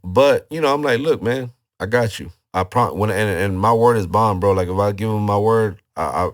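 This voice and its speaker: A man, hosting and organizing events, talking casually with a hoarse voice and loose slang. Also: lowish voice, normal speed. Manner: relaxed, loose slangy talk. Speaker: podcast host and event organizer. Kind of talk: casual interview chat.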